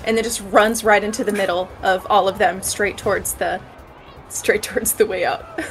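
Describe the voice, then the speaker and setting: A woman's voice: medium pitch, fairly even intonation, normal speed, soft background music, poor audio. A woman chatting casually as a player in a tabletop game, hesitant and playful in her phrasing.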